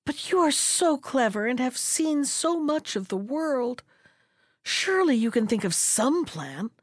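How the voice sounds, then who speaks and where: A woman's voice: high-pitched, big pitch swings, measured pace, no room sound, clean studio sound, voice pushed up, exaggerated voice. A woman voicing an old, lonely male turtle who speaks in a shaky, pleading way in a storybook reading.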